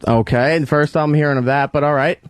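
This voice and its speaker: A man's voice: on the high side, steady tone, fairly quick, clean tone, no room sound. A man in a heated workplace argument, defensive and exasperated, swearing as he makes his case. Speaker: radio show staffer.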